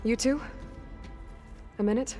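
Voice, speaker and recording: A woman's voice: on the high side, measured pace, warm tone, lightly acted. An actress playing a determined, plain-spoken young warrior who leads her allies in a video game cutscene. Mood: earnest, urgent. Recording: long reverb, music behind. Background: music.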